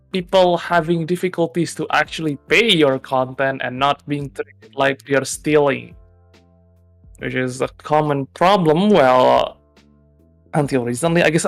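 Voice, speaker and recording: A man's voice: a little high, gravelly, a bit bright, dry recording. A man from Indonesia, chatting casually as a stream guest in a jokey, rambling way. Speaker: guest on a discussion stream, content creator. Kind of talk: casual chat.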